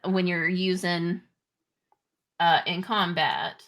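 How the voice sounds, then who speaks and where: A woman game master improvising narration and rules in a halting, conversational way. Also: on the low side, fairly even intonation, fairly bright tone. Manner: halting, conversational, pieced-together descriptions. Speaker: game master of a tabletop roleplaying game.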